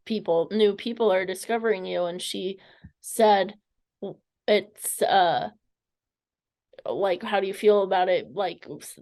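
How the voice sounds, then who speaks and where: A woman's voice: medium pitch, a little mellow. A woman interviewer chatting casually, tentative and conversational as she feels her way through a thought.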